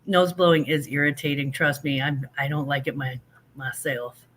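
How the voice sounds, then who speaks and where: A woman's voice: sits low, fairly even intonation, moderate pace, a little hiss or hum. An older woman, a grandmother, hosting a casual livestream, chatting in a rambling, self-deprecating way while answering viewers, a little stuffy from allergies. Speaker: livestream host.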